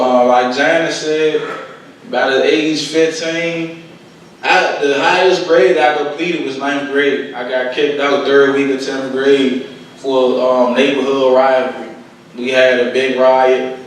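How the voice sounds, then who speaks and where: A man's voice: medium pitch, a bit bright. A man with a Washington DC accent speaking plainly and colloquially to an audience as a guest poet and speaker, recorded from a distance in a very echoey room with faint noise. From Washington DC, United States. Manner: plain-spoken, colloquial storytelling. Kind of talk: poem recital and personal testimony to an audience. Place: echoing venue at a conference.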